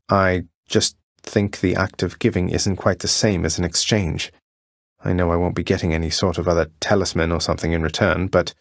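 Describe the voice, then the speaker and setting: An actor playing a cocky, courteous young prince of the underworld in a video game, with a dry, understated delivery. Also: male voice, low-pitched, dry recording. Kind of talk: video game voice lines, short combat barks and dialogue.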